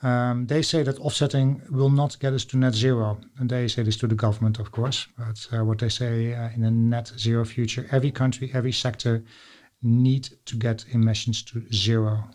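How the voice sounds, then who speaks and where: A man's voice: medium pitch, little pitch movement, brisk, a little mellow. A man from the Netherlands with a Dutch accent, hosting a podcast interview and talking hesitantly with many fillers. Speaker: podcast host.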